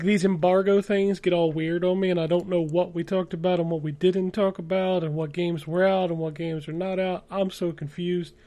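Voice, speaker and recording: A man's voice: high voice, mellow. A man in his forties hosting a podcast with casual, blunt, sweary banter. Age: 40s. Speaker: podcast host.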